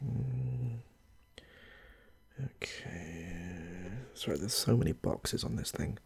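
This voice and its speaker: A man playing a chatty, affable medical intake clinician in a soft-spoken ASMR roleplay, hesitant and reassuring, with a husky, gravelly voice. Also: low-pitched, animated, slow pace, full character voice. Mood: attentive, mildly flustered. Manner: hesitant, rambling, reassuring, murmurs to himself.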